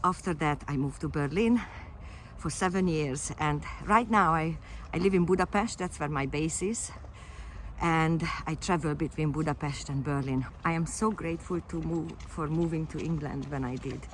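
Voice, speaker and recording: Low-voiced Hungarian woman talking to camera. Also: normal speed, slight background noise. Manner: unscripted, hesitant with frequent fillers and restarts. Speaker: fitness coach. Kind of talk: casual personal vlog to camera.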